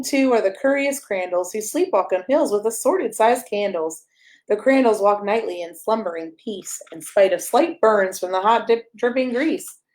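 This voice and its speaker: A woman's voice: fairly high pitch, a little mellow, rough recording. Woman reading a playful children's story. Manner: playful, sing-song storybook delivery with emphasis on rhymes. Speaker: pastor and storyteller reading aloud. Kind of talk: expressive read-aloud of a rhyming children's picture book.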